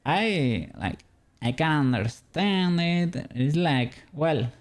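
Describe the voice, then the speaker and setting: A man's voice: fairly high pitch, lively intonation, slow. A man from Spain with a Spanish accent, chatting casually with viewers while he works on a 3D model during a stream. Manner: rambling, answers chat, chuckles often.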